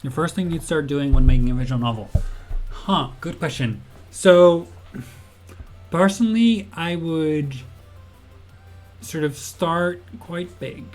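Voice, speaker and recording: Young male game dev streamer, slow relaxed delivery, fillers and laughs, slightly raised pitch, casual tutorial. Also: faint music behind. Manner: chatty, giggly, thinks aloud with many fillers. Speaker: game developer. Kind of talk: casual livestream tutorial answering chat.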